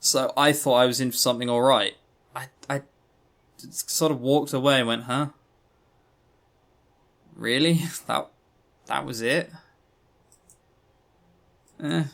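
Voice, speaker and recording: A man's voice: mid-range voice, fairly even intonation, slow pace, vocal fry, long pauses, fairly bright tone. A man co-hosting a podcast in casual, unscripted chat, with wry, self-deprecating humour. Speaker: podcast co-host.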